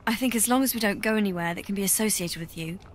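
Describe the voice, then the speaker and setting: A woman acts a young witch companion in a game, sensible and downcast. Her voice sits at a medium pitch, with clear noise behind it. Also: racing, lightly acted. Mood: sombre. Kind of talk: dramatic scripted game acting.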